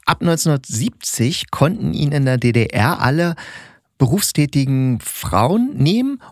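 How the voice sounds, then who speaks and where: A man's voice: medium pitch, very animated, unhurried, no room sound, clean studio sound. A man hosting a podcast, chatting casually and readily breaking into laughter. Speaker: podcast host.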